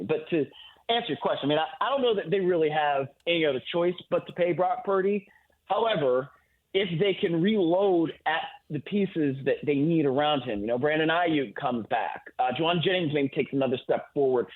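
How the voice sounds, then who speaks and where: A man giving football analysis as a guest in a sports radio interview, heard over a remote call. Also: on the high side. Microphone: phone or remote call. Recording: thin, phone-like line.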